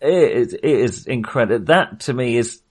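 A man's voice: mid-range voice, animated, moderate pace. A man co-hosting a film podcast, talking casually in a hesitant, self-qualifying way with real enthusiasm.

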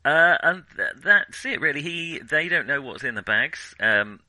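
A man hosting a casual film discussion, talking in a hesitant, halting and wordy way. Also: a little high, lively intonation, pushed and bright, dry recording. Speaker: film podcast host.

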